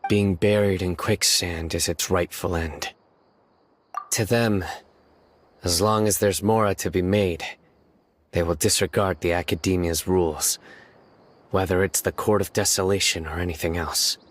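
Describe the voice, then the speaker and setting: An actor playing a stern young male judge in a video game, speaking in a measured, composed way. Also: low-pitched, fairly even intonation, a little hiss or hum. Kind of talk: video game cutscene voice acting.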